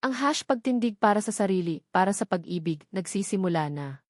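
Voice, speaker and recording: A woman's voice: mid-range voice, expressive, fairly quick, no echo, clean studio sound. A woman storyteller giving scripted first-person romance narration in a dramatic, sentimental manner.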